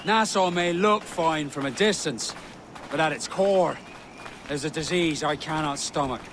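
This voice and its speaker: Guy playing tired cautious pirate, slightly high voice, eloquent, lots of outdoor noise, game. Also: a little slow, lightly acted. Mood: disillusioned. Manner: sober, eloquent. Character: weary, cautious, disillusioned male pirate elder statesman. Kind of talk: video game cutscene acting.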